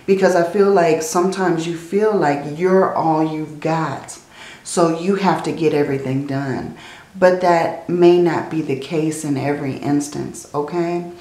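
A woman reading tarot cards in a slow, soothing way, her voice tired and strained from many readings. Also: low voice, warm tone, reverberant, rough recording. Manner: slow, soothing, often adds "okay?" and "I feel like". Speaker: tarot reader. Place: indoors at a table, hands seen handling cards.

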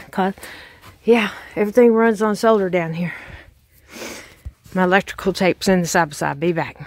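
A middle-aged woman with a Southern accent, chatting casually to her phone camera outdoors on her farm, folksy and full of fillers. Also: lowish voice. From Texas. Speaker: farm vlogger. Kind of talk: casual vlog narration. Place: outdoors on a rural farm, by a chicken pen. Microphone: handheld phone camera.

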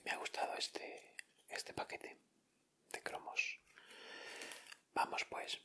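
A man with a Castilian Spanish accent doing gentle, informal ASMR commentary as he hosts an unboxing. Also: slow pace. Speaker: ASMR video host.

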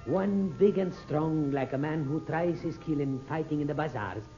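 An actor playing a sly desert caravan leader in an old radio drama, with formal, archaic phrasing. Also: male voice, on the high side, moderate pace, dark tone, soft background music, close to an everyday voice. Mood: solemn, courteous. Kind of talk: 1940s radio drama acting. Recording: dull old radio recording.

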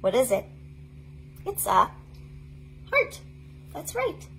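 A woman in her thirties presenting a storytime for young children in an animated, sing-song, encouraging way. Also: high voice, slow, gravelly, slight background noise, low-quality recording. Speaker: children's librarian hosting an online storytime. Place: small indoor play tent set up for recording.

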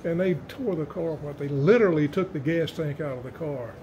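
An older man giving a guided museum tour, telling folksy stories with halting restarts, recorded in a large indoor hall. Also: fairly high pitch, mellow, highs cut off, slight background noise. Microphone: camera held by a visitor nearby.

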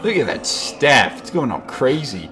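A man's voice: medium pitch, very animated, measured pace, music in the background. A man doing joking gameplay commentary, goofy and mocking, given to exclamations. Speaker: gamer commentator. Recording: game sound noise behind voice. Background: video game ambience.

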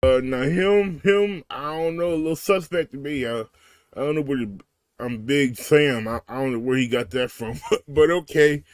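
A man's voice: mid-range voice, normal speed, no echo. A man hosting a reaction video, talking casually and slangily straight to his viewers. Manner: laid-back, slangy, speaks straight to viewers with frequent "y'all".

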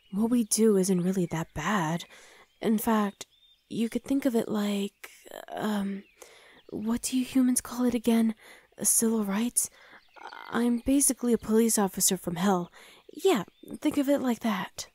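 Soft, mellow demon girlfriend, acted by a woman. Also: mid-range voice, dry recording, close to an everyday voice. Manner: hesitant, soothing, often dropping to a breathy whisper. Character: flustered, gentle young female demon hell knight girlfriend. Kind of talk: intimate ASMR roleplay addressed to the listener.

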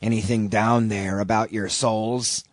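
A man's voice: lowish voice, flat delivery, a little slow, dry recording. A man voicing a shabby old sailor in an audiobook, an ominous, derisive stranger who talks insistently in archaic seafaring speech. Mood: cryptic, scornful. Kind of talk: audiobook character reading.

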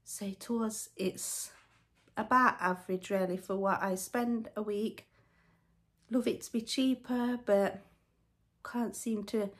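A woman's voice: medium pitch, breathy edge. A young woman chatting through a grocery haul in her home, listing items in a matter-of-fact way. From England. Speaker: family vlogger. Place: living room at home, then kitchen counter.

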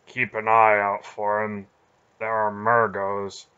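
A man's voice: lowish voice, completely flat delivery, slow, sharp tone, smooth. A man voicing a blunt, burly warrior who talks in curt, contemptuous remarks, in a storybook reading. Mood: gruff. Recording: dull lo-fi audio.

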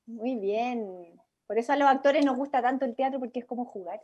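A woman's voice: on the high side, a little fast, dark tone, dull audio. A woman casually hosting a workshop over a video call. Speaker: workshop host, theatre actor.

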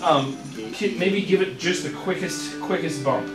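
A man's voice: on the high side, a little slow, distant mic, loud music behind the voice. Matter-of-fact young man, echoey garage. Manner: practical, questioning, matter-of-fact. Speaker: car restorer and show host. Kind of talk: casual workshop chat. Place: garage workshop.

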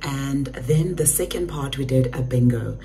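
A young woman vlogging to camera, her voice nearly gone, hoarse and half whispered as she chats about an event. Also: a bass voice, a little slow, dark tone, slight background noise. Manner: chatty, recounting an event. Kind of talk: casual vlog chat to camera. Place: bedroom, indoors. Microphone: phone held close to face.

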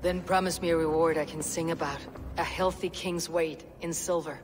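An actress playing a blunt, menacing female Viking warrior in a video game, speaking in a measured, gruff way. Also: deep voice, steady tone, over music, natural voice. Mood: defiant.

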